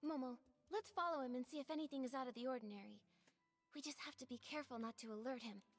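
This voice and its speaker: A woman voicing a gentle, polite young girl heroine in a video game, soft and earnest. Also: high voice, quick pace, faint music behind. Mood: thoughtful. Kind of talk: game voice acting.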